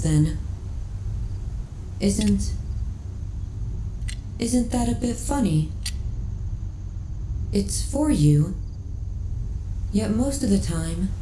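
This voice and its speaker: Sci-fi ASMR roleplay: lonely, devoted female ship computer acted by a woman, polite and soft, dark relaxed tone, echoing space with faint noise. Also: medium pitch, lightly acted. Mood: wistful. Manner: measured, polite, formal phrasing. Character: lonely female starship AI, gentle, devoted, wistful. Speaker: voice actor in an audio roleplay. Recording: roomy echo, faint background noise.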